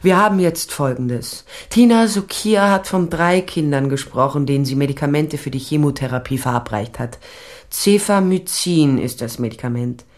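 A woman's voice: deep voice, normal speed, close to an everyday voice. An actress playing a tough, sly private investigator, narrating her case tersely and dryly in a crime radio drama. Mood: teasing, businesslike.

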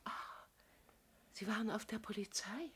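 A woman's voice: medium pitch, moderate pace, fairly bright tone, slightly rough. An actress playing a solicitous, inquisitive landlady in a German radio play, chatty, apologetic and deferential. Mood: curious, deferential.

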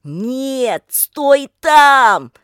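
A woman voicing a frantic, bossy young woman who shouts urgent pleas and commands in an audiobook. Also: high voice, expressive, takes it slowly, no room sound, clean studio sound, voice pushed up, exaggerated voice. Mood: shouting commands. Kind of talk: audiobook character acting.

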